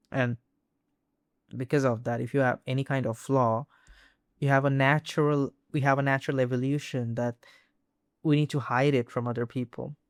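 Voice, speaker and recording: Halting young man from India. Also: mid-range voice, no echo, clean studio sound. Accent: Indian English. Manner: halting, many restarts and fillers. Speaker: vlogger. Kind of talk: casual personal talk to camera.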